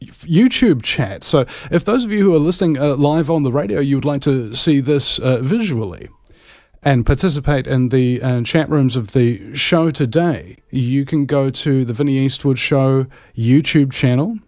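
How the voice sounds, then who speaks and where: Male talk radio host from New Zealand: casual, rambling, theatrical emphasis, mid-pitched, dry close sound. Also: animated, moderate pace, a little mellow, no room sound. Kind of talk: casual live talk show monologue.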